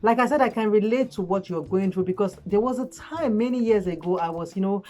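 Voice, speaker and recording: Hoarse woman, chatty. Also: mid-range voice, a little fast, mellow. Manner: chatty, earnest, frequent fillers. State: hoarse from a cold. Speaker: relationship vlogger. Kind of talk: casual advice chat to camera. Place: at home late at night.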